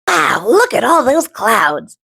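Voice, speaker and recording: A woman voicing an eager, restless young male rock in a children's storybook, dramatic and excitable. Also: sits high, slow pace, vocal fry, music in the background, pitched above the performer's own voice, full character voice. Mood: excited, cheerful. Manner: dramatic, wide-eyed and excitable. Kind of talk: children's storybook read-aloud.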